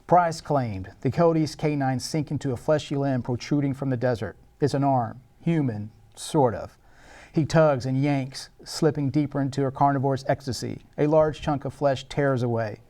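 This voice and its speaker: A man's voice: mid-range voice, moderate pace, warm tone. A man narrating screenplay action and scene headings at a table read, in a scripted, dramatic style.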